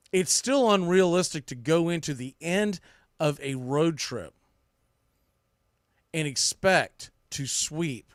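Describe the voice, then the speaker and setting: Man, fairly high voice, dry close sound, opinionated baseball commentary, emphatic repetition, blunt colloquial phrasing. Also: dry recording. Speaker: sports podcast host.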